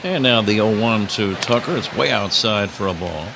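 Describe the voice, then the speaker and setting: A man's voice: on the low side, normal speed, creaky. A man calling baseball play-by-play live on the radio as the announcer. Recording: crowd roar and steady stadium noise. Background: ballpark crowd.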